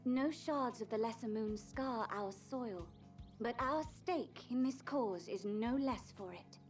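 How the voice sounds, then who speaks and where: A woman's voice: on the high side, a little slow, a little mellow, faint music behind, natural voice. A woman playing a dignified, gracious envoy in a video game, speaking in a formal, stately way, sounding distant. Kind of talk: video game cutscene acting.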